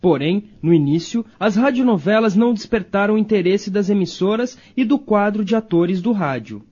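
Male Brazilian documentary narrator, high-pitched and soft-toned, slightly dull sound. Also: expressive, normal speed, breathy edge. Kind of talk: scripted radio documentary narration.

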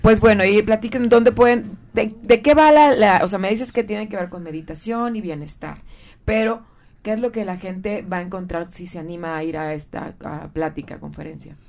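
A woman's voice: medium pitch, normal speed, a little mellow. A woman with a Mexican Spanish accent hosting a radio talk show, chatting informally and prompting her guests. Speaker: radio host.

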